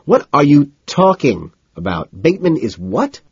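Man acting an unhinged, desperate yuppie, raised husky voice. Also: medium pitch, lively intonation, slow pace, a little mellow, no room sound, highs cut off, pitched above the performer's own voice. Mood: wired, incredulous. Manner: emphatic, frantic. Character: unhinged, desperate young yuppie protagonist, intense and insistent.

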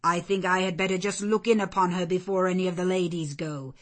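Woman playing a genial, declamatory gentleman colonel. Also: on the low side, steady tone, fairly quick, lightly acted. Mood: genial, persuasive.

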